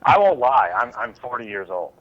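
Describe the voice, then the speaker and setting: Animated forty-year-old man on a muffled line. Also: medium pitch, normal speed, crowd noise. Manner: hesitant, repeats words. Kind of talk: casual podcast banter.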